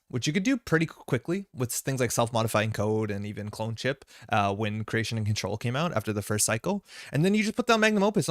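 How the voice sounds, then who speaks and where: A man's voice: mid-range voice, no echo, studio quality. Rambling casual man on a live stream. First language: Slovenian. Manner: rambling, many fillers and restarts. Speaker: stream host. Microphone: desk mic.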